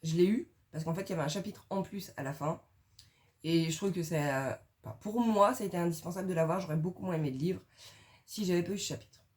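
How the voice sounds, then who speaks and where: A young woman casually recommending her favorite books in a relaxed video, chatty and slangy with lots of fillers. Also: on the low side, quick pace. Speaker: book reviewer.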